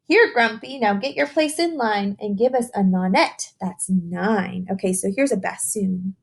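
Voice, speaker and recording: A woman reads to young children in a teacherly way. Her voice sits at a middle pitch with a slightly husky, unpolished edge. She speaks simply and encouragingly, moving between storybook reading and chatty explanation. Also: measured pace. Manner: encouraging, addresses young listeners with simple questions. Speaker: teacher reading a picture book aloud to children. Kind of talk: children's read-aloud with chatty explanations and questions.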